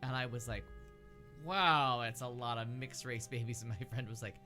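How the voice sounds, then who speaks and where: An American man hosts in a bright, sharp-edged voice. He is blunt and jokey, moving between casual questions and funny stories. Faint music runs behind him. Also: medium pitch, normal speed. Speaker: talk show host. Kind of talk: casual comedic chat and questioning.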